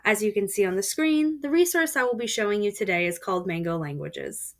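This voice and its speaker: A woman's voice: mid-range voice, a little fast. A woman giving a step-by-step voice-over tutorial of a language learning website. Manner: steady explanatory voice-over. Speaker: student presenter. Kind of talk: screen-recorded tutorial walkthrough.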